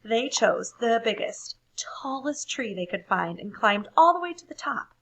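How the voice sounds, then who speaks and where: A woman reading a story aloud for children, expressive and deliberate, pausing to ask listeners questions. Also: a little high, poor audio. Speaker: storybook reader.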